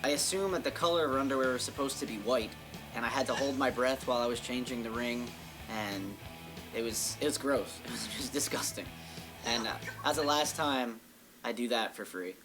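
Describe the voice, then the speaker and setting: A man's voice: medium pitch, a little fast, rough recording. A man casually telling stories in an interview as a tattoo artist. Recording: guitar music behind the voice. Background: guitar music.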